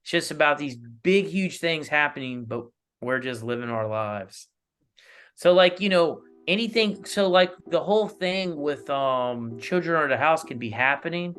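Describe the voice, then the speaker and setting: A man's voice: fairly high pitch, animated, unhurried, fairly bright tone. A man speaking as an interview guest in casual, rambling conversation, often checking in with "you know". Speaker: interview guest, analog horror creator. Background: plucked guitar music.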